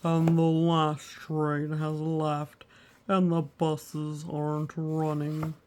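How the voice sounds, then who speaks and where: A woman's voice: low voice, monotone, very slow, darkish tone, a little hiss or hum, voice pushed down. A woman voicing an anxious, gloomy male walrus in a storybook, dwelling dramatically on each misfortune. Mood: worried. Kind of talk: storybook read-aloud character voice.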